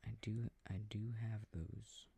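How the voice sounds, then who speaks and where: A man playing an affectionate boyfriend in an intimate ASMR sleep-aid roleplay, murmuring and rambling softly with a breathy voice, close to the microphone. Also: lowish voice, level tone, unhurried, heavily acted. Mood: absorbed, mildly puzzled. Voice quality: soft, husky, breathy. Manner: murmured, rambling, gently bemused.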